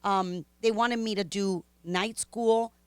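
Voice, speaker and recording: A woman's voice: mid-range voice, slow, clear, resonant tone, no echo. A middle-aged woman from Miami with a Spanish-influenced Miami accent, a native Spanish speaker, telling her story candidly as a podcast guest with lots of ums and laughs. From Miami, Florida. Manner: animated, chatty, frequent ums and laughs.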